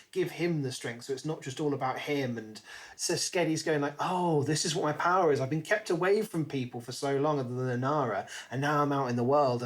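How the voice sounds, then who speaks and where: A man co-hosting a podcast in a casual book discussion, retelling things in an animated way and slipping into acted-out quotes. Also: medium pitch. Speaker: podcast co-host.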